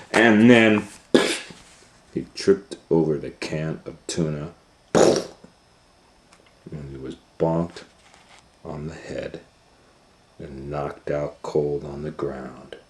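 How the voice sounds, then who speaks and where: A man hosting storytime, reading a children's story aloud in a theatrical way with dramatic pauses and swells. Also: low-pitched, slight background noise. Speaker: storytime reader and host. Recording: roomy, echoing sound.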